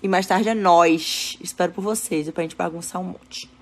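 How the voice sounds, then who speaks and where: A young woman talking casually to her fans in selfie phone clips, chatty and direct, soft-spoken and firm when setting limits, often checking in with "tá bom?". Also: fairly low pitch, steady tone, moderate pace, a little hiss or hum. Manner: chatty, addresses fans as "gente", repeats "tá bom?". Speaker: dancer and influencer talking to fans. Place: bedroom and car, indoors. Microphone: phone at arm's length.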